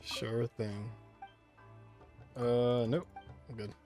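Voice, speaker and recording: A man's voice: mid-range voice, level tone, drawn-out words, warm tone. A man giving casual gameplay commentary as a streamer, repeating himself in muttered asides. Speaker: gaming streamer. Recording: faint game music behind.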